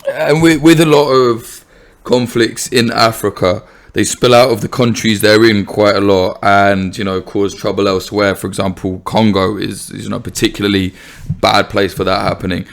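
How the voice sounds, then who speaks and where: A man's voice: on the low side, fairly even intonation, moderate pace, creaky. A man hosting a podcast interview, talking casually and bluntly. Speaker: podcast host. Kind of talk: interview conversation.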